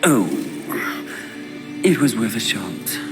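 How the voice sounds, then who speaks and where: Seductive, mocking game demon, slow theatrical taunts with wide pitch swings, in a cavernous reverb. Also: male voice, sing-song. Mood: silky tempting. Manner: theatrical, taunting, silkily persuasive. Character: Lust, seductive, mocking demon sin tempter. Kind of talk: video game cutscene acting. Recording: long hall reverb, music underneath.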